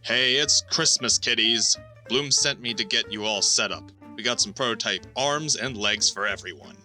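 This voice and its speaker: A man's voice: on the low side, normal speed, bright, forceful tone, faint music behind, low-quality recording. A man playing a brash, teasing, sardonic ship mechanic in a sci-fi audio drama. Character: gruff, wisecracking male ship mechanic.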